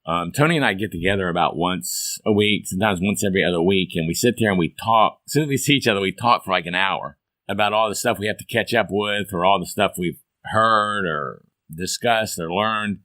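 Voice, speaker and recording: A hoarse man hosts a folksy, upbeat podcast chat. His voice sits on the low side yet comes across bright and forceful. The sound is dry and close, with no room around it. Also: a little fast, no echo. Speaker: podcast host. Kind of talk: casual chat.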